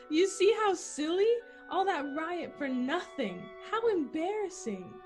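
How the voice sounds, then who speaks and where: A woman playing a bold, dismissive small-town woman of thirty-three in a 1940s-style radio play, brisk and scoffing. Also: extremely high pitch, animated, over music, heavily acted. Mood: amused.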